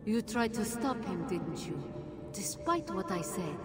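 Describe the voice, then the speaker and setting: An actress playing an ancient, composed and mysterious female jinn guide in a video game, speaking in a measured, formal way. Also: mid-range voice, lively intonation, close to an everyday voice. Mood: grave, firm. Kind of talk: video game cutscene voice acting.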